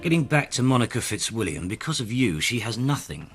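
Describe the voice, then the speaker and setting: An actor playing a hard, sardonic detective inspector in a radio drama, needling and sarcastic, with a husky voice. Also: male voice, mid-range voice, big pitch swings, fairly quick, over loud music, natural voice. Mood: pressing, accusing.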